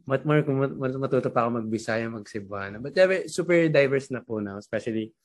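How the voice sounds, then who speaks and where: A man's voice: mid-range voice, expressive, fast. A young Filipino man with a Filipino accent hosting a casual interview, hesitant with many fillers as he frames questions. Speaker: podcast host.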